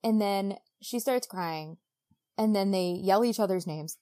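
A woman's voice: mid-range voice, unhurried, mellow. A woman co-hosting a podcast, recapping a book casually and acting out dialogue in informal modern slang. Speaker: podcast co-host.

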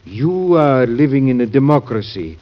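An actor playing a grave, earnest visitor in an old-time radio drama, formal and polite. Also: male voice, mid-range voice, big pitch swings, normal speed, close to an everyday voice. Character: earnest, secretive Spanish gentleman, grave and pleading visitor. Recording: muffled vintage radio recording.

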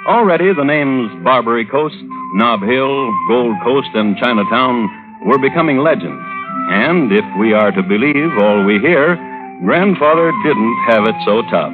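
An actor playing a dry, droll connoisseur of crime, hosting and narrating in ornate, wry, deliberate old radio style. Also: male voice, mid-range voice, lively intonation, lightly acted. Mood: wry, measured. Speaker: host and narrator. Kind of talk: 1950s radio scripted narration. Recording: old narrowband radio recording with music.

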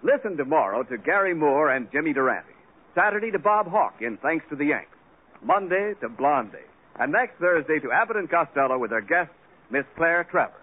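A man's voice: a little high, sing-song, fairly quick. A man announcing a 1940s radio show, reading sponsor copy and tributes in a formal announcer's delivery. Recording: tinny 1940s radio broadcast.

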